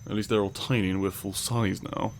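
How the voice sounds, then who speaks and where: Guy playing a grumpy know-it-all scientist, lowish gravelly voice, dry and condescending, some background noise. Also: fairly even intonation, brisk, natural voice. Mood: urgent, weary. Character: grumpy, dry male lab scientist, exasperated and superior. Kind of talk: ASMR audio roleplay acting.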